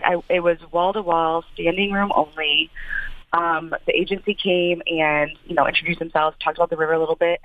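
A woman's voice: on the low side, dry recording. Woman in her thirties on a phone-like line. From Michigan, United States. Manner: earnest, repeats phrases for emphasis. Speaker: interview guest, community activist. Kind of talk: conversational interview account.

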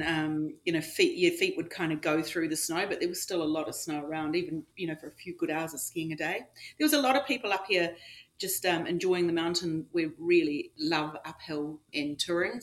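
A middle-aged woman with a New Zealand accent, a ski resort manager chatting in an interview, often pausing with um and you know. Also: low-pitched. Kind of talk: casual interview answers.

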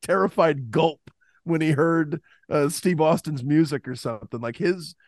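A man's voice: on the high side, a little slow, no echo. Stammering podcast co-host man, dry sound. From Southern United States. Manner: stammering restarts, animated storytelling. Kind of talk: casual sports podcast chat.

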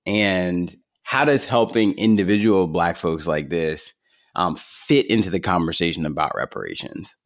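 A man with a very low voice hosts a conversational interview. He poses his questions in an everyday talking style, deep in his register. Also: a little slow. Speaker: podcast host, interviewer.

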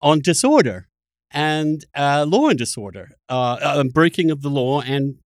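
A man hosting a conversational political interview, probing and debating with halting, repeated phrasing. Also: on the high side, expressive, no echo, clean studio sound. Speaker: podcast host.

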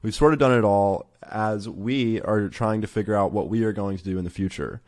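A young man in his twenties welcomes listeners and guests to his interview podcast. He sounds relaxed and a little hesitant, with a fairly low voice. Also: brisk. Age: 20s. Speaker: podcast co-host. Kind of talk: podcast hosting.

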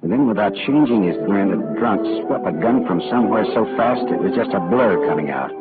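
A man's voice: on the low side, expressive, fairly quick, close to an everyday voice. An actor playing a tough, weary American private detective narrating his case in a wry, hard-boiled 1940s radio style. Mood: terse, matter-of-fact. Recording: muffled old-time radio broadcast, loud music.

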